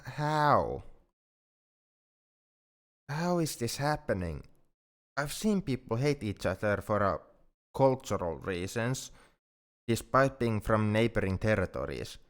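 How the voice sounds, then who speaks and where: Deep-voiced, anxious alien man, acted confessing earnestly. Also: lively intonation. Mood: stunned, hopeful. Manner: halting, earnest, formal wording. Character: anxious, self-doubting, lovesick male alien friend. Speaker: ASMR roleplay voice actor. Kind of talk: ASMR roleplay, intimate dramatic monologue.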